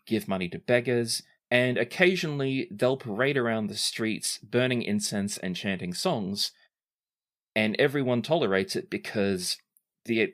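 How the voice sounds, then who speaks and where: A game master improvising and narrating in a tabletop role-playing game. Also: sits low.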